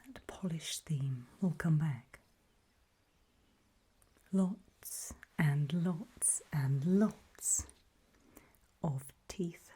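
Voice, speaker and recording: Breathy, slow whispering woman. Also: sits low. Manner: slow, deliberate, hushed, pausing between short phrases. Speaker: ASMR roleplay host. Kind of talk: whispered ASMR roleplay narration, guiding the listener.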